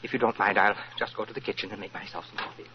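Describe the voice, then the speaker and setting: A man's voice: on the low side, fairly even intonation, racing, natural voice. An actor playing a fussy, cranky, blunt male lawyer in 1940s radio style, hesitating and repeating himself. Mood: irritable. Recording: muffled, noisy old radio recording.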